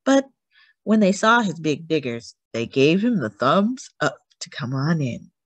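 A woman's voice: low voice, sing-song, measured pace, slightly rough, dry recording. A woman reading a picture book aloud to young children, expressively and with chatty asides to the listeners. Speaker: read-aloud storyteller for children.

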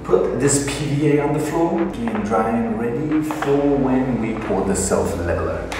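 A man's voice: mid-range voice, expressive, moderate pace, recorded from a distance, background noise. A man casually vlogging his house renovation, upbeat, chatty and jokey, talking in a bare room under construction. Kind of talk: casual home renovation vlog. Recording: very echoey empty room with long reverb, music underneath.

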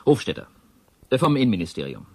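An actor playing an evasive ministry official in a German radio drama, speaking in a flustered official manner. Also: male voice, lowish voice, big pitch swings, breathy edge, no room sound, full character voice. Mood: brisk, demanding. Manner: brisk, then stammering evasively.

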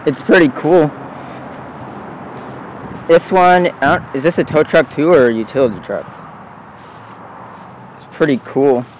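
A man's voice: a little high, unhurried, long pauses, low-quality recording. Man rambling casually outdoors by a road. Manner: offhand, rambling, enthusiastic about old trucks. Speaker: vlogger exploring abandoned vehicles. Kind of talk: casual walk-around narration. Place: outdoors in an overgrown lot beside a road. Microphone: handheld phone camera. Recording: faint outdoor traffic noise. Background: faint road traffic.

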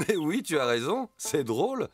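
A man's voice: a little high, sing-song, measured pace. A man voicing a kindly, patient papa bear in a preschool cartoon, explaining things simply. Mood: gentle, amused. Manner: gentle, explaining simply to a small child. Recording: studio voice under loud guitar music.

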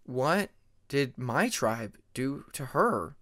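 A man's voice: mid-range voice, sing-song, extremely slow, sharp tone, no room sound, studio quality, full character voice. A man voicing a thoughtful, polite young male dragon in an audiobook, speaking carefully and a little hesitantly.

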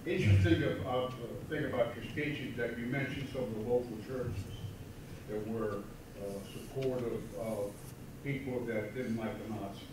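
A man's voice: mid-range voice, fairly even intonation, normal speed. A man from the audience asking a question hesitantly, with fillers. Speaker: audience member. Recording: very echoey hall, distant, noisy.

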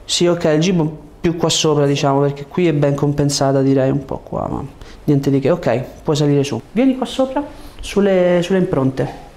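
A man in his thirties speaking as a chiropractor, giving short, gentle, reassuring instructions to a patient in a calm clinical way. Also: on the high side, moderate pace. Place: indoor chiropractic office. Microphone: lapel mic. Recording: faint background room noise.

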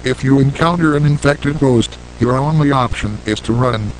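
A man delivering a scripted emergency warning as an announcer, in a formal, measured and impersonal manner, in analog horror style. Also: mid-range voice, a little hiss or hum, low-quality recording.

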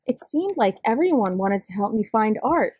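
A woman reading a picture book aloud expressively, with deliberate delivery and dramatic pauses. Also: a little high, sing-song, warm tone, husky, no echo, clean studio sound. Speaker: storybook reader.

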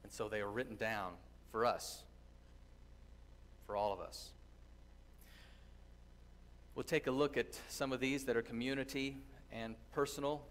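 Middle-aged pastor preaching in a church. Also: male voice, medium pitch, a bit bright. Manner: earnest teaching, thoughtful pauses. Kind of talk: sermon with scripture reading. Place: church sanctuary with congregation. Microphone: distant camera, lectern mic.

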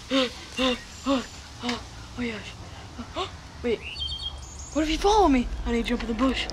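Woman playing a high, jittery puppet chef. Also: lively intonation, measured pace, background noise. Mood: panicked. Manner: gasping, breathless exclamations. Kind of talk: improvised home puppet film dialogue.

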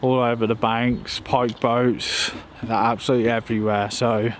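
A young man chats casually to the camera as an angling vlogger. His mid-pitched voice stays quite flat in melody. He is recorded outside, close to the camera, with clear ambient noise around him. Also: moderate pace. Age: young adult. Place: outdoors by a river boatyard. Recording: clearly noisy outdoor ambience.

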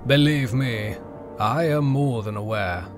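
A man playing a patient, earnest Jedi master, calm and persuasive, in a sci-fi audio drama. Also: mid-range voice, unhurried, music in the background, natural voice. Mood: assured. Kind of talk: fan audio drama acting.

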